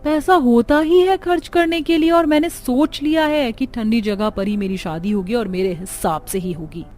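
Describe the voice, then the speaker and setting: A woman's voice: fairly high pitch, normal speed, darkish tone, lightly acted. A woman voicing a spoiled, bossy rich daughter in a Hindi moral-story cartoon. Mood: indignant. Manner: bossy, insistent. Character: spoiled, demanding rich young bride-to-be daughter.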